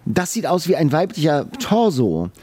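A man's voice: a little high, moderate pace, husky, no room sound. A man chatting casually and playfully as a podcast co-host. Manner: playful, animated. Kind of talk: casual chat. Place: radio studio.

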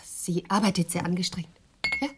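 An actress playing a friendly, curious young woman visitor in a German radio drama, with a husky voice and polite manner. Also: fairly low pitch, fairly even intonation, normal speed, fairly bright tone. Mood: curious, courteous. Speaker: actress in crime radio play.

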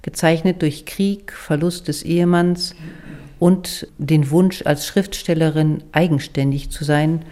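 A woman project member giving a measured statement in an interview. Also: sits low, fairly even intonation, mellow.

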